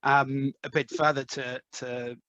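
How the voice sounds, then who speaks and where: A man's voice: medium pitch, level tone, a little slow, pushed and bright, dry recording, dull audio. A man from London with a London English accent, a podcast guest talking through his career with many ums and restarts. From London, England. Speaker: podcast guest, head of sales. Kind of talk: conversational interview answers.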